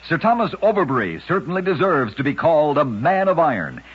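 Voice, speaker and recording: A man hosting an old-time radio program, narrating scripted strange tales in a dramatic, deliberate storyteller's delivery. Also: fairly high pitch, big pitch swings, breathy edge. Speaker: radio host and narrator of oddities. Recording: dull lo-fi vintage radio recording.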